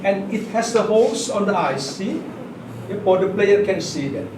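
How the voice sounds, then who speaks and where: A high-pitched man with an Indonesian accent gives an informal craft demonstration. He talks in short, halting, simple phrases. The voice is picked up from a distance in an echoey space with clear background noise. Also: expressive. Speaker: puppet workshop guide and demonstrator.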